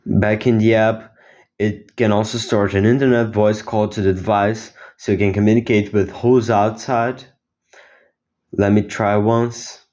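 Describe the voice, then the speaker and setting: Low-voiced teenage guy presenting. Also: little pitch movement, unhurried, darkish tone. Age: teens. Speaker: student inventor presenting own project. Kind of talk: explanatory project demo.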